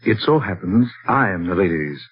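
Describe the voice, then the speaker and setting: A man's voice: sits low, lively intonation, moderate pace, slight background noise. A man voicing a genteel young suitor who mocks a woman on the phone, in 1940s radio acting style. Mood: teasing. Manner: dry, mocking and genteel. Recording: dull 1940s radio transcription.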